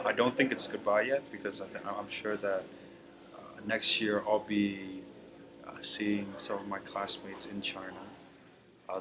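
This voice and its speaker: A man's voice: fairly low pitch, background noise. A young man from Vietnam, speaking English as a third language, giving hesitant, filler-heavy advice to fellow students. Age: young adult. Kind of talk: advice and farewell to classmates.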